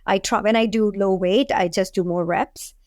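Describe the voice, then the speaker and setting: A woman's voice: medium pitch, steady tone, moderate pace, darkish tone, no echo, clean studio sound. A woman in her forties from India, with an Indian accent, talking casually as an interview guest. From Delhi, India. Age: 40s. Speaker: podcast guest, food entrepreneur.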